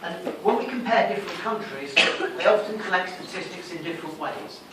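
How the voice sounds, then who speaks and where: High-voiced male lecturer in an echoey room. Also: expressive, a little fast. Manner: didactic, asks and answers own questions. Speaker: sociology lecturer. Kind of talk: classroom revision lecture. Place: lecture room, recorded from a distance. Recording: echoey room, distant mic, noisy.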